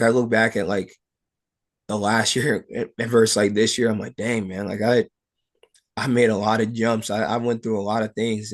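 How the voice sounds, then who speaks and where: A young adult man, a wrestler being interviewed, talking casually and personally in a halting way, peppered with "like" and "you know". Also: on the low side.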